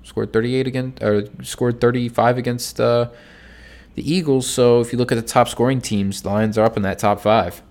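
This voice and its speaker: A man hosting a sports betting podcast, talking casually through picks while nasal from a cold, low-key with frequent ums and restarts. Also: fairly even intonation, moderate pace, a little mellow.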